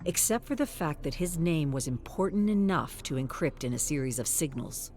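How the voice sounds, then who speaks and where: A man reading scripted story narration in a measured, even storytelling delivery. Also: fairly high pitch, faint music behind.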